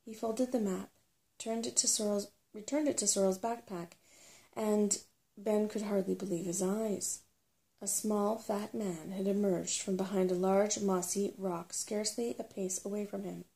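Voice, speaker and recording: Soft-spoken woman reading a story, mellow and creaky. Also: mid-range voice, steady tone, measured pace. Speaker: storybook reader. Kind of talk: ASMR audiobook reading of a children's fantasy novel.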